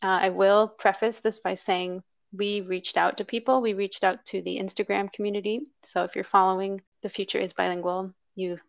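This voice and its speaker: Upbeat woman podcast host with vocal fry. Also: mid-range voice, flat delivery, normal speed, no room sound, highs cut off. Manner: upbeat and chatty, laughs easily. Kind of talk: casual podcast conversation.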